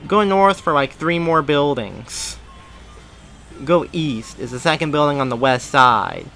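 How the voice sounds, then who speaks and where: A breezy, casual passer-by from a story reading, acted out by a man. The voice is pitched up, bright and emphatic, with faint music behind it. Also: measured pace. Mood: breezy, helpful. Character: casual passers-by giving muddled, breezy street directions.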